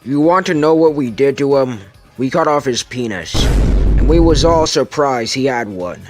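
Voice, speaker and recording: A man voicing a brutal villain boss in an amateur comic dub, threatening, pompous and deliberate. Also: mid-range voice, expressive, a little mellow, faint music behind, natural voice. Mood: menacing. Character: menacing brutal villain boss interrogating a captive.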